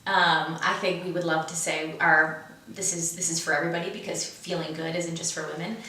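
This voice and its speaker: A woman's voice: low-pitched, fairly even intonation, a little fast, bright, forceful tone. A woman guest explaining her wellness program in earnest, casual conversation. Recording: echoey room, distant mic.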